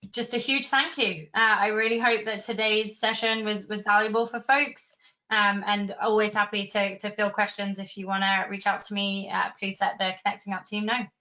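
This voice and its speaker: A woman's voice: mid-range voice, little pitch movement, normal speed, bright, forceful tone. A woman answering questions conversationally as a presenter in an online webinar. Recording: dull, lo-fi online call audio.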